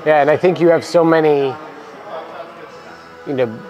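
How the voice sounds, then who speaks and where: A young man co-hosting a casual talk about coaching baseball, relaxed and filler-heavy, on a lapel mic in a cluttered equipment room. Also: fairly high pitch, measured pace, soft background music. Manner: relaxed, many "you know" fillers. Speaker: baseball program coach and co-host. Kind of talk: casual podcast chat.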